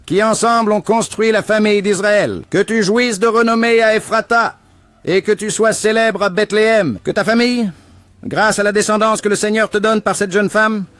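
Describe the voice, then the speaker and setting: A man playing a town witness who gives a solemn, formal blessing in an animated Bible story. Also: high-pitched, moderate pace, no room sound.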